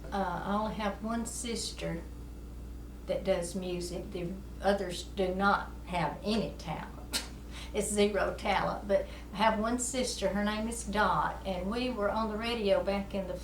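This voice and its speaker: A woman, telling her memories in a folksy, rambling way and chuckling often. Her voice sits at an ordinary pitch for a woman. It is picked up from some way off the mic in an echoey space, with a faint hiss underneath. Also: recorded from a distance. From South Carolina, USA. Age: 70s. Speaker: interviewee, amateur musician. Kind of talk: oral history interview.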